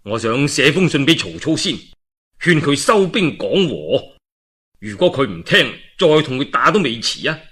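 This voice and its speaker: Man playing an earnest, upright warlord, animated husky voice. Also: medium pitch, normal speed, full character voice. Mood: measured. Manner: earnest, formal. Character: humble, upright warlord, courteous and righteous.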